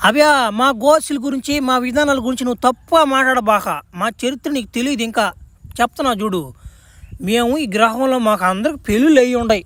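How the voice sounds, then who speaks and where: A man as a high-voiced, self-important cartoon villain. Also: fast, fairly bright tone, no room sound. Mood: proud, explaining. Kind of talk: crude comic cartoon dubbing. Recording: music behind the voice.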